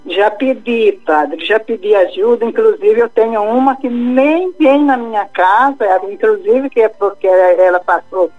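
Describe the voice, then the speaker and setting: An older woman from Mid-West Brazil talks haltingly and sorrowfully, breaking off and restarting. Her voice comes through a narrow, tinny phone line. It sounds like a call-in to a religious program. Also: a little high, slightly rough, faint music behind. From Cuiabá, Mato Grosso, Brazil. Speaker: caller.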